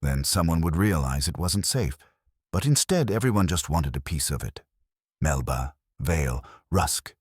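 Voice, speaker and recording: A man voicing a nervous, earnest young woman who confesses her part in a scheme, his voice pitched up and hesitant. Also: expressive, a little slow, a little mellow, breathy edge, dry recording, studio quality, full character voice.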